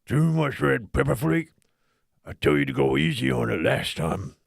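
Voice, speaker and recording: A man's voice: medium pitch, animated, moderate pace, gravelly, dry recording, clean studio sound. A man voicing a casual, admonishing male character in audiobook dialogue. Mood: concerned, teasing.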